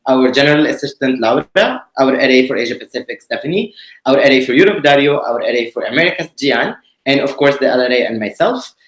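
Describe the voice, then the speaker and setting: A man from Tunisia hosting an online presentation, explaining in a measured way with frequent pauses. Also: medium pitch. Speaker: webinar host, committee director. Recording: roomy echo.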